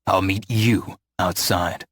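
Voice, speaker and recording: A man voicing a stern, guarded young man who talks in a firm monotone drawl. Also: fairly low pitch, a little fast, slightly rough, dry recording. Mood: flat.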